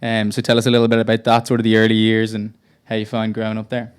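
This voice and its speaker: Low, smooth-voiced man hosting a podcast. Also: monotone, quick pace. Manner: friendly, frequent short acknowledgements. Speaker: podcast host. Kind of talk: casual interview.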